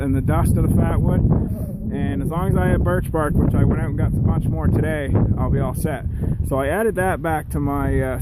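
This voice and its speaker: A middle-aged man, talking casually and ramblingly to his viewers in a folksy, conversational way. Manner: folksy, conversational, keeps addressing viewers as guys. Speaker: outdoors vlogger. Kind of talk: casual rambling vlog to camera. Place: outdoors in rural woodland. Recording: noisy outdoor recording with ambient rumble.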